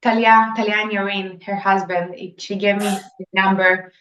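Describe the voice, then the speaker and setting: A young Israeli woman whose first language is Hebrew, speaking earnestly and excitedly as an interview guest, sometimes searching for English words. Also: medium pitch, moderate pace, roomy sound, lo-fi sound, a little hiss or hum. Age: young adult. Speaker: egg donor guest. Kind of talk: webinar interview.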